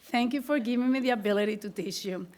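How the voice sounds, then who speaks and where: A woman's voice: on the high side, fast talker, a little mellow. A middle-aged woman with a strong Puerto Rican accent, giving an earnest, grateful acceptance speech as an honored teacher, often restarting her phrases. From Puerto Rico.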